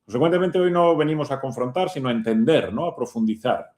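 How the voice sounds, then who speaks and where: A man's voice: medium pitch, lively intonation, fast talker, darkish tone. Male radio interviewer in an echoey room. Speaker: radio host. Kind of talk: conversational interview on religion. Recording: roomy, echoing sound.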